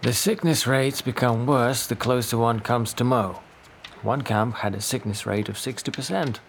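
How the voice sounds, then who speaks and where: Casting: man to play conscientious, tired wartime doctor, creaky and understated, for naturalistic audio drama; faint noise behind. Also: mid-range voice, steady tone, normal speed, natural voice. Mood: grim. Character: weary, conscientious male Norwegian doctor in 1945.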